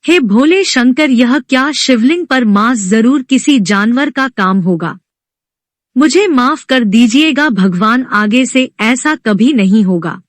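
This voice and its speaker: A pious male Brahmin priest from a devotional storybook, voiced by a woman. He is easily scandalized and implores reverently, very slowly. The voice is fairly high and closely recorded. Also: lively intonation, no room sound. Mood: shocked, apologetic.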